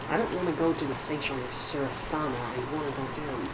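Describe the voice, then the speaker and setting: A woman's voice: a bass voice, noisy background. A woman livestreaming a video game with casual, off-the-cuff commentary. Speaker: game streamer. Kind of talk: casual live gameplay commentary. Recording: loud game music behind voice. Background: game music.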